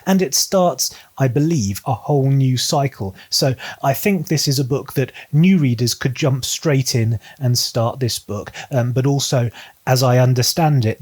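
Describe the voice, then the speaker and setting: A man's voice: mid-range voice, darkish tone. A man talking to viewers as a book vlogger, in a chatty, polite, presented style.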